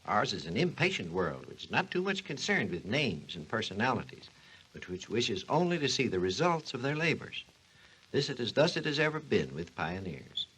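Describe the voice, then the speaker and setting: A man's voice: lowish voice, sing-song, moderate pace, a bit bright, breathy edge, heavily acted, poor audio. A man playing a learned doctor who narrates and explains in formal, instructive 1940s radio style. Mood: authoritative, instructive. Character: authoritative male doctor explaining history and science. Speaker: narrator and expert.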